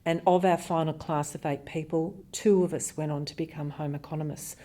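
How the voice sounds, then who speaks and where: A woman's voice: low voice, steady tone, a little fast, mellow. A woman home economist speaking admiringly in an interview answer.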